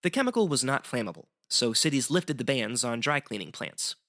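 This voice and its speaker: A man's voice: medium pitch, big pitch swings, brisk, bright, forceful tone. A man as a guest explaining history in semi-scripted educational banter, articulate and wry.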